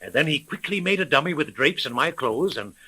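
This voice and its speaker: A courteous older gentleman in a 1930s radio serial. He talks fast in a bright, slightly high voice, with formal, earnest politeness. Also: lively intonation, breathy edge, exaggerated voice, low-quality recording. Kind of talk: 1930s radio drama acting.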